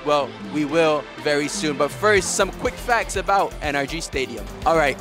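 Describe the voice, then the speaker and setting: Upbeat soccer vlogger. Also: male voice, fairly high pitch, lively intonation, moderate pace, music in the background. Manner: upbeat, addresses viewers as guys. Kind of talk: travel vlog narration. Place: outdoors and inside a large stadium.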